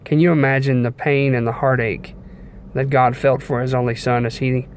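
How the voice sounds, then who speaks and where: A young man giving an informal devotional sermon in an earnest, measured way, pausing between short phrases. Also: mid-range voice, little pitch movement. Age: young adult. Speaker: preacher giving a devotional message. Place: inside a car. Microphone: phone at arm's length. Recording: clear background noise inside a car.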